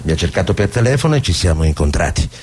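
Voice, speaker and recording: An actor playing a guarded, courtly old former diplomat with a husky voice and a measured, formal manner. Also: male voice, low voice, big pitch swings, darkish tone, a little hiss or hum. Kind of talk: radio drama acting.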